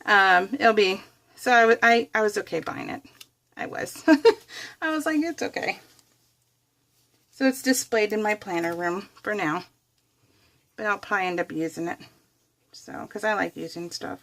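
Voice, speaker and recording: A woman's voice: medium pitch, a bit bright. A middle-aged woman with an American accent chatting casually and in a rambling, exclamatory way, as a craft vlogger does while working. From United States.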